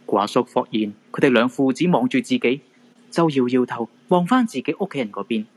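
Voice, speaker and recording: A man's voice: medium pitch, lively intonation, brisk. A man with a Hong Kong Cantonese accent narrating a radio drama in a dramatic scripted storytelling style.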